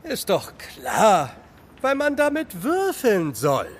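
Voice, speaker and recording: A man's voice: high voice, wildly swooping pitch, takes it slowly, slight background noise, heavily acted. An actor playing an outspoken young boy adventurer, sceptical and blunt, in a children's audio drama. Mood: miffed.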